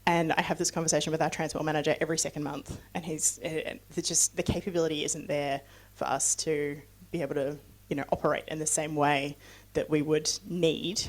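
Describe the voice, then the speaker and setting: A middle-aged woman from New Zealand gives a loose, conversational talk on sustainability and takes audience questions. Her voice sits a little low, and she keeps it casual with fillers and side remarks. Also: level tone, a little fast. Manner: chatty and loose, with frequent fillers and asides. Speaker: guest speaker on sustainability.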